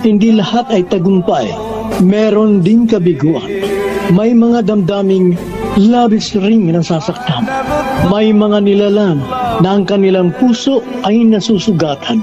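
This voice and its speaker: A man's voice: high voice, a little slow, over music. A man with a Filipino accent hosting a radio drama with dramatic scripted narration. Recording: dull narrow-band radio sound.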